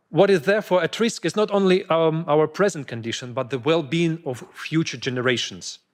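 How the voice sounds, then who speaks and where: A man giving a prepared scientific talk at a conference, reading carefully with small stumbles and restarts. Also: on the high side. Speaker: conference speaker. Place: conference hall.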